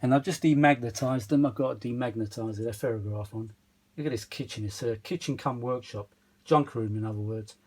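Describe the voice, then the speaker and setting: A man with a mid-pitched voice talks casually as the presenter of his own hobby video. He is chatty and self-deprecating, rambling along and often hunting for the right word. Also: a little fast, darkish tone. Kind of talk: rambling casual demonstration vlog. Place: small cluttered kitchen used as a workshop.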